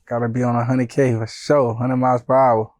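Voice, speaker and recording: Guest: man, 30s, from Haiti via Florida, laid-back storyteller, even monotone, dry close sound. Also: medium pitch, brisk, no room sound. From born in Haiti, raised in Broward County, Florida. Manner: laid-back, often says "you know what I'm saying". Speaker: music manager and guest. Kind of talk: casual interview storytelling.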